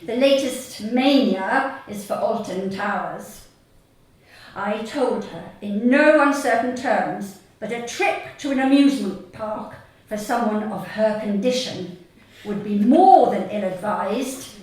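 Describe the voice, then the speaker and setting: Echoey, slow-paced woman reading a comic story. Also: medium pitch. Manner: deadpan, measured storytelling with deliberate pauses. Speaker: author reading own short story. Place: theatre stage at a live reading event. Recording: echoey hall, distant mic, audience noise. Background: audience laughter.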